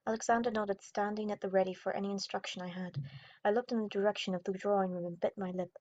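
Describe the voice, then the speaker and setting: A woman's voice: mid-range voice, fairly even intonation, quick pace, a little mellow, slight background noise. A young woman gaming YouTuber reading visual novel narration aloud in a dramatic storytelling style. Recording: dull audio, music behind. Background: game music.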